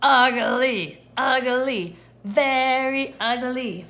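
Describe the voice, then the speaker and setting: A woman voicing mocking schoolchildren from a storybook, loudly taunting with drawn-out words. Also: sits high, heavily acted. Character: jeering, mocking crowd of schoolchildren.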